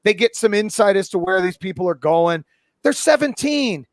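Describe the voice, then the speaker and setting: A man's voice: high voice, lively intonation, normal speed, no echo, clean studio sound. A man in his forties talking sports casually as a pundit, blunt and opinionated, swearing freely. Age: 40s. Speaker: college football pundit and podcast guest.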